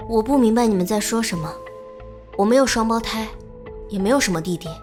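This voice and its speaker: Animated crime villainess secretary, voiced by a woman: composed, defiant, guarded, higher register, faint score behind. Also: fairly quick, close to an everyday voice. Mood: cold denial. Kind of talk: animated crime drama acting. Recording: music behind.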